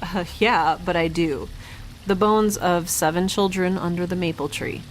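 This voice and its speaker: Woman playing a young scientist, lowish voice, introspective audio drama log, guilt-ridden, halting, self-questioning, faint background noise. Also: steady tone, natural voice, rough recording. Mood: bitter, defensive.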